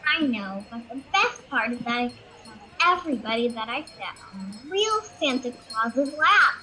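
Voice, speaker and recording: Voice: medium pitch, big pitch swings, normal speed, sharp tone, slight background noise, poor audio. A young performer playing a confident, earnest child with an insistent manner and childlike grammar. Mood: sure, admiring.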